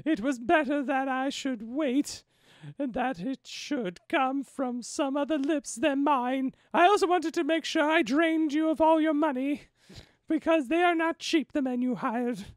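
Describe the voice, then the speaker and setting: A man acts out a melodramatic lady from a storybook mystery. The voice sits far up in falsetto, drawn out and dramatic, very dry and close. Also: a little slow, dry recording. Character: distressed, high-voiced woman, a mother in a detective story. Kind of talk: comedic dramatic reading of a detective story.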